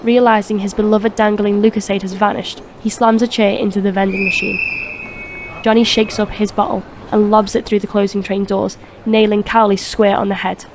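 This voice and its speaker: A woman's voice: mid-range voice, level tone, moderate pace, background noise. Woman narrator over faint ambience. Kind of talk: scripted narration of a crime audio drama.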